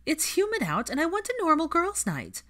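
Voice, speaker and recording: A woman's voice: very high voice, wildly swooping pitch, a little fast, a bit bright, voice pushed up, heavily acted. A woman voicing a sulky, sardonic young witch in an audiobook, blunt and easily irritated. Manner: sulking, blunt, profane. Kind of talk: audiobook character voice.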